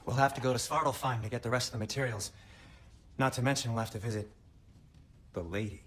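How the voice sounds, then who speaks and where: A man's voice: medium pitch, fast talker, fairly bright tone, husky. A man voicing a fussy, anxious dwarf craftsman in a video game, nervous and hesitant. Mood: nervous, brisk. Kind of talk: animated video game acting.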